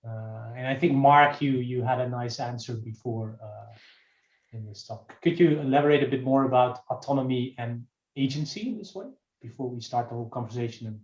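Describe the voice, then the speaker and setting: A man's voice: mid-range voice, a little fast. Moderator man in an echoey, dull room. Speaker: panel moderator. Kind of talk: moderating a panel. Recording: roomy, dull lo-fi sound.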